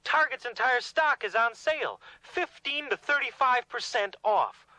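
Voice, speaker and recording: 70s ad announcer guy, high lively voice that jumps around, selling stuff. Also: a little slow, no echo, poor audio. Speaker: commercial announcer. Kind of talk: 1970s retail commercial pitch.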